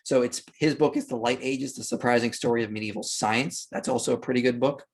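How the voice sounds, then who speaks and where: A man's voice: mid-range voice, fairly even intonation, brisk, slightly rough. A man speaking as an interview guest, explaining history in unscripted podcast talk with an emphatic, argumentative manner.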